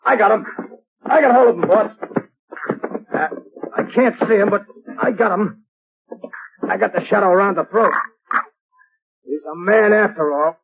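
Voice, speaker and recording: A man playing a dim-witted thug henchman in a 1930s radio drama, talking in a gruff, ungrammatical tough-guy way on a tinny broadcast recording. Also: high voice, moderate pace, pauses often, full character voice. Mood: excited.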